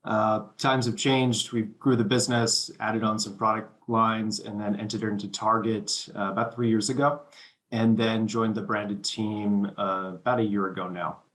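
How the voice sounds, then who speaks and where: A man's voice: on the low side, level tone, moderate pace, fairly bright tone. A man introducing himself on a judging panel in a matter-of-fact, businesslike way. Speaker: judge on a pitch panel. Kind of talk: casual self-introduction.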